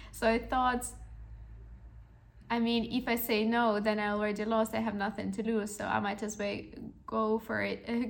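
Young woman podcaster, kinda even tone with lots of fry, earnest pep-talk storytelling. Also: on the high side. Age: young adult. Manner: earnest, reflective, motivational. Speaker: podcast host. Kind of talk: personal storytelling monologue.

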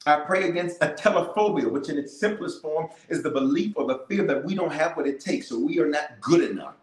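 Fervent preaching bishop in an echoey room. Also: male voice, fairly high pitch, brisk. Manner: fervent, repetitive declarations, calls to the audience. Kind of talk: livestreamed prayer and preaching. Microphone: cameras in front of him.

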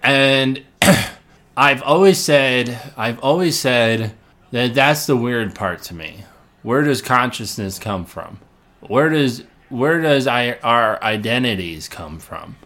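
A man's voice: medium pitch, animated, takes it slowly, pushed and bright. Rambling middle-aged man musing aloud. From Illinois, United States. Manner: rambling, many restarts and repeated words, thinking aloud; laughs between phrases, swears casually. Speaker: podcast host. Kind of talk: casual solo podcast monologue.

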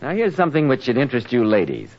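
A man working as a radio announcer and host, giving polished, promotional 1940s sponsor pitches. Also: huge pitch swings, very fast. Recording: muffled 1940s radio, music behind.

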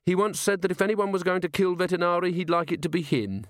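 Man acting a young police captain, earnest, innocent, deferential, slightly high voice, storybook character. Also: fast, dry recording, clean studio sound, close to an everyday voice. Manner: deferential, innocent, literal-minded.

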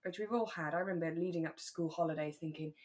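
A woman's voice: low-pitched, quick pace, a little mellow. Chatty British woman in her thirties. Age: 30s. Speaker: podcast co-host. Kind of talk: relaxed casual chat about a radio drama.

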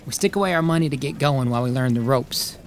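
An actor playing a volatile, ambitious 1890s drifter who talks in folksy slang as he pitches big schemes in an audio drama. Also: fast talker, slight background noise, close to an everyday voice. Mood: persuasive. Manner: folksy, slangy frontier talk. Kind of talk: acted screenplay reading.

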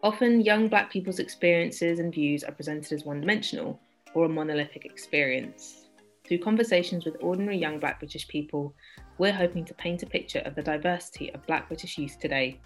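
A woman hosting an interview, asking relaxed, encouraging questions after a scripted introduction. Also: low voice, brisk, soft background music. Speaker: podcast host.

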